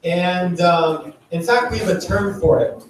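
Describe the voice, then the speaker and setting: Man, high voice, chatty conference speaker, heavy hall echo, distant from the mic. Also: unhurried. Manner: chatty, engaging, quizzes the audience. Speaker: startup founder giving a talk. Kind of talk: conference talk to a live audience. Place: event venue.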